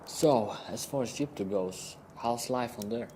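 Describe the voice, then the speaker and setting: A man's voice: medium pitch, a little slow, close to an everyday voice. A man playing a polite interviewer who asks questions in an amateur audio play. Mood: inquiring. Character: polite male interviewer questioning a young woman. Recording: noisy amateur home recording.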